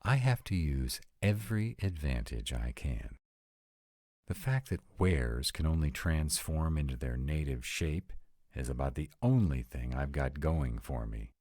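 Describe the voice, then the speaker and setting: A man's voice: extremely low pitch, expressive, unhurried, a little mellow, no room sound, full character voice. A man voicing a sarcastic, exasperated vampire in a scripted roleplay audio, talking to his partner with dry, emphatic delivery. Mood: anxious, sardonic. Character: sarcastic male vampire, exasperated, anxious about in-laws.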